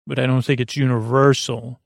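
A man with a creaky voice hosting a bedtime podcast, talking in a meandering, halting monologue full of self-interrupting asides. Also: mid-range voice, little pitch movement, no room sound, clean studio sound. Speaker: podcast host.

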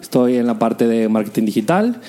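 A man's voice: mid-range voice, big pitch swings, normal speed, mellow. A man with a Mexican accent talking casually as an interview guest, often searching for his phrasing. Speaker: podcast guest, digital marketing professional. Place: indoors, in a home.

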